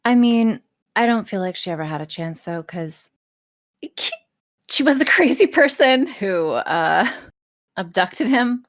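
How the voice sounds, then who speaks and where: A woman's voice: medium pitch, slow pace, no room sound. A woman with a mid-range voice talks casually as a podcast guest. She is blunt and self-deprecating and swears freely. The sound is dry, with very little room in it. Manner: sweary, blunt, self-deprecating, animated storytelling with acted-out asides. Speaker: podcast guest, audio voice performer. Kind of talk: casual interview chat.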